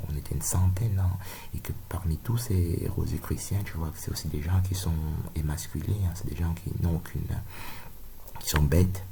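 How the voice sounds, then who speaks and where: A man's voice: very deep voice, vocal fry, mellow, slight background noise. A middle-aged man from Cameroon, giving a rambling, insistent monologue full of tag questions. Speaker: video lecturer.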